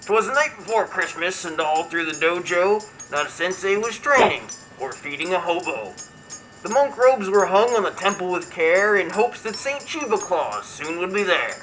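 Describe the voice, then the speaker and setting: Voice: mid-range voice, big pitch swings, normal speed, a bit bright, poor audio. A performer voicing a brash, crude martial arts buddy character, reading comic verse in a mock-dramatic, theatrical way. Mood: playful, storytelling. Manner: mock-dramatic storytelling, irreverent. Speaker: comedy show host character. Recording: music behind the voice.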